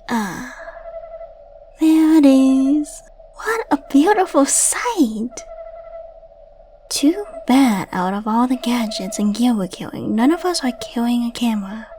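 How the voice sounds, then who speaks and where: A woman's voice: sits high, dark tone, a little hiss or hum, full character voice. A woman playing a playful, flirtatious female secret agent in a scripted ASMR roleplay, coy and teasing as she addresses the listener. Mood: delighted. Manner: coy, teasing, chatty with tag questions. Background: ambient sound effects.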